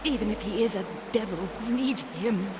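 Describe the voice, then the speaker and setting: A woman's voice: medium pitch, normal speed, noisy background, natural voice. A woman acting an anxious, earnest mother pleading for her son in a video game drama. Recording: loud music behind the voice.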